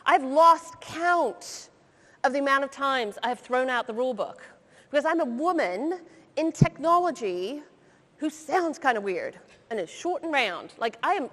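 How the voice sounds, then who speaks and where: Teasing woman coaching on a conference stage. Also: sits high. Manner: lively, teasing, blunt. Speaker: career coach, workshop leader. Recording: long hall reverb.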